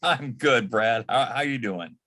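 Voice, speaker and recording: A man's voice: medium pitch, a little slow, bright, forceful tone. An older man from the Detroit area, an interview guest chatting casually and often repeating words as he starts. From Detroit area, United States. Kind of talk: casual anecdotal chat.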